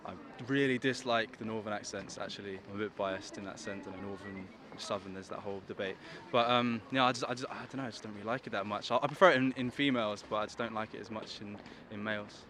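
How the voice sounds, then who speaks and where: A man's voice: lowish voice, quick pace, hardly any pauses, a crowd in the background. A man with a London accent giving a casual, tentative interview answer. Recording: noisy outdoor street with traffic.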